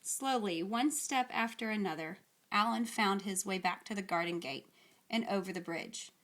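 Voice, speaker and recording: A woman reading a children's story aloud with expressive, deliberate, dramatic phrasing. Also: medium pitch.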